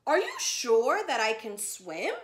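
A woman voicing a timid but eager young male zoo animal, talking high and excited. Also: slow pace, roomy sound, heavily acted.

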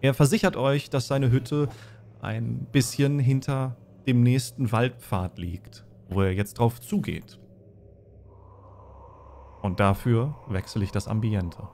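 A man's voice: mid-range voice, steady tone, slow pace, mellow, slight background noise. A man, narrating a tabletop role-playing game as game master.

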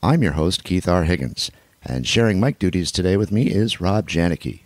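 A man hosting a podcast, reading the intro and asking questions in a smooth radio-announcer manner. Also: sits low, expressive, moderate pace, no room sound. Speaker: podcast host.

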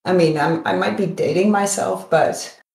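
A woman's voice: on the low side, moderate pace, creaky, darkish tone. A middle-aged woman, chatting as a podcast guest and often repeating words as she goes. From Netherlands. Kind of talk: conversational interview answers. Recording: roomy, echoing sound.

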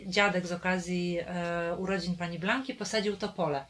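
Low-voiced woman interviewer asking gently. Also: steady tone, moderate pace. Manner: gentle questioning, many fillers. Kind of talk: oral history interview.

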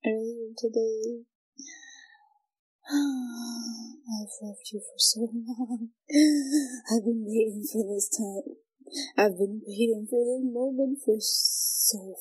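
A shy yet possessive yandere schoolgirl in an audio roleplay. Her voice is very high and girlish, with a breathy edge. She speaks extremely slowly, clinging to each phrase in an obsessive, intimate way. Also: warm tone, rough recording. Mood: yearning, obsessed. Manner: slow, giggly, clingy delivery. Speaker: amateur voice actor.

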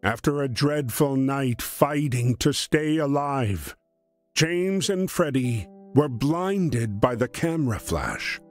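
Slow, measured male storyteller. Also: mid-range voice. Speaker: narrator. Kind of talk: scripted storytelling narration of a war video game.

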